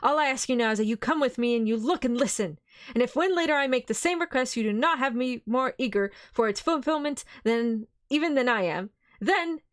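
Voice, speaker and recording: A woman's voice: a little high, dry recording, clean studio sound, voice pushed up, close to an everyday voice. A woman voicing a grave elderly foreign professor in a read-aloud novel, speaking formally, sternly and earnestly.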